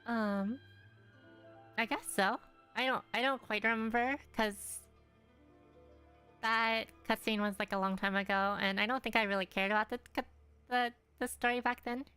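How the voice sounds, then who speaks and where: A woman's voice: on the high side, fairly even intonation, moderate pace, pauses often, sharp tone, clear, resonant tone, soft background music. A young woman streaming a game, thinking aloud in a rambling, hesitant way with lots of fillers. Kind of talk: casual gameplay commentary.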